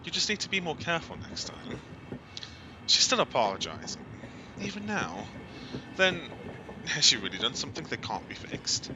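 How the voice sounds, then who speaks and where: A man reading a visual novel aloud on a stream as dramatic, expressive narration, in a theatrical storytelling way. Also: fairly high pitch, normal speed, noisy background.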